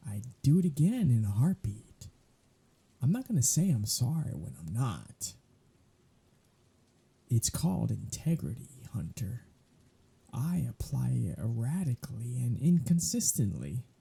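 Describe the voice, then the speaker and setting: A man's voice: medium pitch, sing-song, a little slow, gravelly, long pauses, dark tone, slightly rough, full character voice. A man playing a sardonic, weary vampire in an ASMR audio roleplay, talking in a flippant, conversational way. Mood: defiant, dry.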